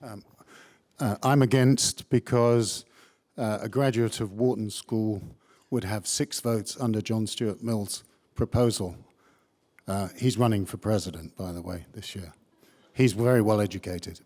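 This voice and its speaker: A man's voice: fairly low pitch, unhurried, darkish tone. A man speaking up spontaneously as an audience member at a public event, recorded in a very reverberant hall.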